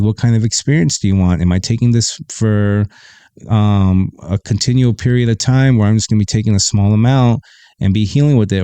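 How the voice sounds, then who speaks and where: A man hosting a podcast, talking in a casual, laid-back and opinionated way with a deep, bassy voice, sometimes reading from a web page into a close desk mic. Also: dark tone, smooth, no echo. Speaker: podcast host. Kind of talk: casual livestream talk.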